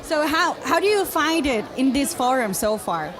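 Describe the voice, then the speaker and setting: A woman with a high, very lively voice presents casually at a live event. Some faint crowd chatter sits behind her. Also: normal speed. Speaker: event co-host.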